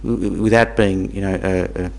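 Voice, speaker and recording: Low-voiced man giving a dharma talk. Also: steady tone, creaky, darkish tone. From Tanzania. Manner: halting, repeats words, asks the audience questions. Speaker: Buddhist teacher. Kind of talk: dharma talk to a small group.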